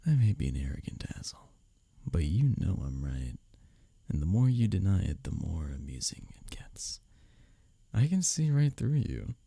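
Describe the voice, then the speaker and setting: A man acting an arrogant, teasing office rival who is secretly smitten, in an intimate audio roleplay, often breathy and near a whisper. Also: sits low, sing-song, warm tone, no room sound, clean studio sound, exaggerated voice. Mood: smug, teasing. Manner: smirking, teasing, halting when flustered. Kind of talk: intimate ASMR audio roleplay addressed to the listener.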